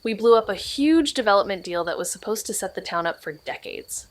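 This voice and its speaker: Deadpan, weary young woman detective, played by an actress. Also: medium pitch, fairly quick, no room sound, natural voice. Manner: deadpan, terse. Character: Mags, level-headed, weary young woman amateur detective. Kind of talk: naturalistic audio drama acting.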